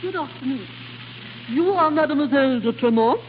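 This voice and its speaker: Haughty duchess, high-pitched. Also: female voice, lively intonation, a little fast. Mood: frosty. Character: haughty, stiff middle-aged duchess, domineering wife. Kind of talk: 1930s radio acting. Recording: tinny, hissy 1930s radio broadcast.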